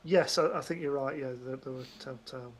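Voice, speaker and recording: A man co-hosting a film podcast, chatting casually in a halting way, repeating words as he goes. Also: medium pitch.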